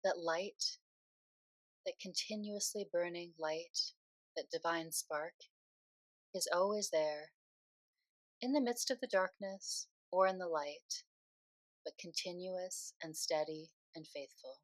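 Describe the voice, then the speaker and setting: A woman leading a guided meditation in a soothing, unhurried way, speaking phrase by phrase with pauses. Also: lowish voice, dry recording.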